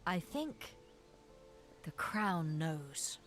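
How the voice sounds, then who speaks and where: Earnest, slow-spoken young adventurer heroine. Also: female voice, deep voice, big pitch swings, a bit bright, faint music behind, full character voice. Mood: unsure, resolved. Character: earnest female fantasy adventurer, haunted by visions. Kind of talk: video game cutscene acting.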